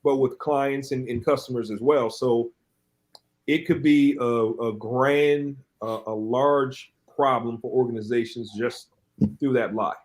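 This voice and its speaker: A man's voice: medium pitch, steady tone, a little slow. A man, a podcast co-host in a casual panel discussion, who tells stories in a rambling way with many restarts.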